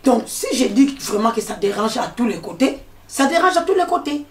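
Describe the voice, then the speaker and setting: A woman gives her own account and drives each point home by repeating it. She sits back from the microphone, and the room adds a clear echo. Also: a little high, very animated, a little fast, far from the mic. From DR Congo, raised in Angola. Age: 40s. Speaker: guest. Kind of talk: personal testimony in a discussion. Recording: echoey room, speaker off mic.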